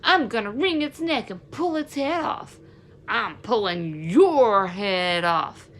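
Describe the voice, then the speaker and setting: A woman's voice: mid-range voice, lively intonation, takes it slowly, fairly bright tone. Young male bully for a storybook read by a woman, gloating, threatening, words drawn out for menace. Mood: gloating threat. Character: menacing, taunting boy bully. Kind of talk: storybook villain voice.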